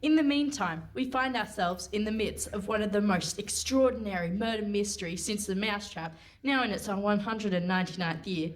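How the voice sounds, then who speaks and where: A woman's voice: mid-range voice, moderate pace, hardly any pauses, lightly acted. A woman acting as an arch, matter-of-fact narrator in a comic radio play performed live. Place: hall stage before a live audience. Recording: faintly noisy live stage recording.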